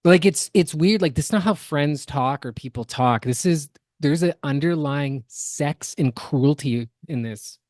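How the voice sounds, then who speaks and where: A woman's voice: low voice, unhurried, few pauses, darkish tone, no echo, clean studio sound. A woman co-hosting a podcast, giving casual reaction commentary in an analytical, critical, explanatory manner. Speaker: podcast co-host.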